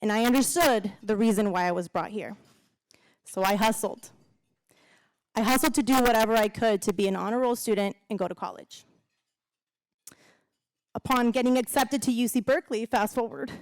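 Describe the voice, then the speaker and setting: A woman's voice: on the high side, normal speed, pauses often, a little mellow, dry recording. A woman in her thirties speaking on stage into a handheld microphone at a gala, heartfelt and personal, with a faint audience behind her. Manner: heartfelt, laughs between lines. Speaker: co-emcee and alum speaker. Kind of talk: speech at a gala. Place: large event hall, on stage.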